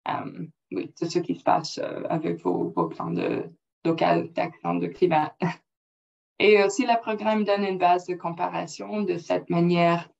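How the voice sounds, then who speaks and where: A woman whose first language is English presenting slides in French, careful and halting with non-native phrasing, over a computer mic on a video call. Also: lowish voice, expressive, gravelly, a little mellow. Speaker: project officer presenting. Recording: dull lo-fi call audio.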